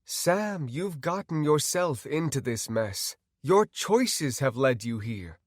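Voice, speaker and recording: A man's voice: fairly high pitch, very animated, slow, no room sound, clean studio sound, voice pushed up, full character voice. A man voicing a dispassionate, cryptic male doctor in an audiobook, admonishing in manner.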